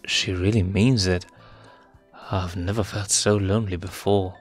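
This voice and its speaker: A man's voice: sits low, fairly even intonation, measured pace, breathy edge, faint music behind. A man voicing a playful, hapless young male lion cub in an amateur comic dub, stammering and hesitant. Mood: sheepish, dejected. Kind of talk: amateur comic fandub acting.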